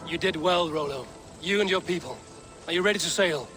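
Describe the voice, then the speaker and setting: An actor playing a steadfast, commanding Viking warrior with a firm, earnest delivery in a game cutscene. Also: male voice, a little high, fairly quick, music in the background, lightly acted. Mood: calm, watchful. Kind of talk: video game cutscene acting.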